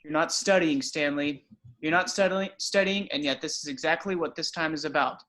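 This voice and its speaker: A man's voice: on the high side, moderate pace, sharp tone. A man playing a stern rabbi who lectures his son, at an amateur table read. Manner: scolding, lecturing. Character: stern middle-aged male rabbi father.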